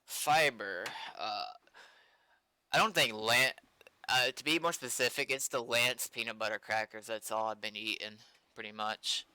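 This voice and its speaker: A man's voice: mid-range voice, a little slow, bright, forceful tone, clear, resonant tone, poor audio. A young man rambling casually on a livestream, often trailing off into mumbled asides. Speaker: streamer and game developer.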